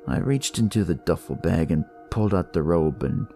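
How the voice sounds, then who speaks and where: A man narrating dramatic noir horror in the first person, with a deliberate, brooding delivery. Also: deep voice, lively intonation, mellow. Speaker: storyteller reading in first person as a detective. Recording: music behind the voice.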